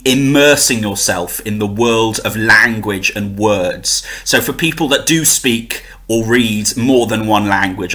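A young man with a bright, forward voice at a middling pitch. He speaks as a poet presenting to camera, animated and emphatic, in his native English. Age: young adult. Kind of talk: informal promotional talk to camera with poem recitation. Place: small room at home, indoors. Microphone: webcam at desk distance.

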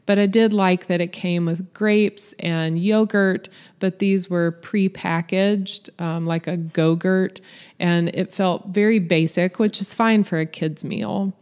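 A woman with a fairly low voice narrates a restaurant review. She talks evenly and conversationally, in a matter-of-fact way. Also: fairly even intonation, slow pace, darkish tone, clean tone. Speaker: vlog host, restaurant reviewer. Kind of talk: scripted voice-over review.

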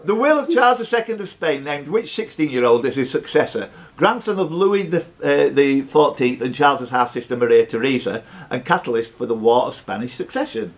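A man's voice: mid-range voice, expressive, a little fast, hardly any pauses. A man hosting a live quiz, reading out questions with teasing, sweary banter. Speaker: quiz host.

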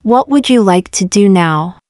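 A woman with a mid-range voice reads practice questions for a language lesson. The recording is dry and close-miked. Her reading is measured and clear. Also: medium pitch, animated, a little mellow, dry recording. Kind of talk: slow repeated example questions for learners.

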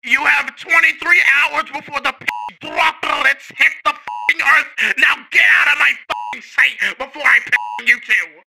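A man voicing a furious, crude, pompous cartoon mad-scientist villain in a gravelly, bombastic rant. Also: extremely high pitch, fairly even intonation, unhurried, full character voice. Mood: menacing. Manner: ranting, bombastic, theatrical shouting. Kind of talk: comedic parody dub rant. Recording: slightly noisy audio.

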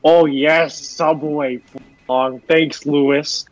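A man's voice: a little high, fairly even intonation, takes it slowly, a little hiss or hum, poor audio. A man improvising a comedy fandub in a jokey, rambling way, often repeating phrases.

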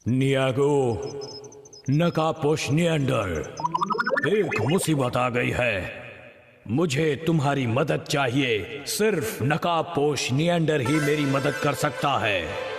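Slow, solemn old cat sage. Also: male voice, mid-range voice, lively intonation, gravelly. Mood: grave warning. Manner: grave, slow, dramatic. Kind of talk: dubbed cartoon acting. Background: music.

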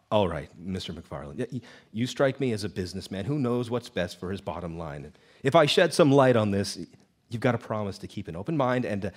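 An actor playing a nervous, evasive labor company representative in a live radio drama, stammering and officious. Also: male voice, sits low. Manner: stammering, hesitant, apologetic and officious. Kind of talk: live old-time radio drama acting. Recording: live stage performance with background music. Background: music.

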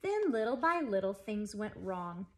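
A woman reads a picture book aloud to children in an animated, emphatic way. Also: medium pitch, a little slow. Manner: animated, emphatic phrasing for young listeners. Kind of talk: expressive children's story read-aloud. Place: living room at home.

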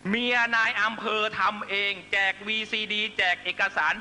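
A man's voice: high-pitched, fairly even intonation, moderate pace, pushed and bright, a little hiss or hum. A man delivering a fiery political speech to supporters, accusatory and emphatic, constantly pressing listeners with rhetorical questions. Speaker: political rally speaker.

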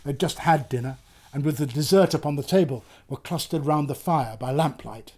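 Older man, storyteller, dramatic literary reading, expressive, theatrical, moderately high pitch. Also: moderate pace, husky. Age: 60s or older. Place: small book-lined room, indoors.

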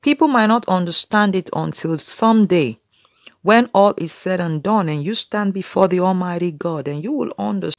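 A woman's voice: on the low side, no room sound, studio quality. A woman in her thirties preaching casually to camera from a small room, earnest and exhorting, with many "you know" fillers.

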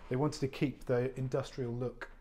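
A man, an estate agent giving an unscripted walk-through tour of a warehouse flat, chatty and full of fillers and restarts. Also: medium pitch, normal speed, slight background noise. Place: large warehouse flat, indoors. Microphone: handheld phone camera, speaker off screen.

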